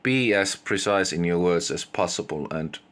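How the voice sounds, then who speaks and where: A man with a Finnish accent giving casual, unscripted opinions in halting English, pausing mid-sentence. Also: deep voice, fairly bright tone. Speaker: video creator giving opinions.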